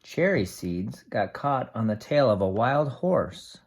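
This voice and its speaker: A teacher reading a picture book aloud to young children, explaining things simply with expressive asides. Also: mid-range voice, takes it slowly.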